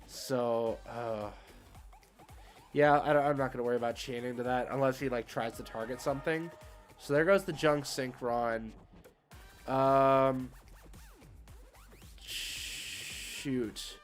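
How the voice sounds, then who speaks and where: A man's voice: medium pitch, steady tone, takes it slowly, long pauses, soft background music. A man hosting a casual game livestream, thinking aloud in an easygoing, somewhat hesitant way as he plays. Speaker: livestream host and card game player. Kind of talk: casual live game stream commentary.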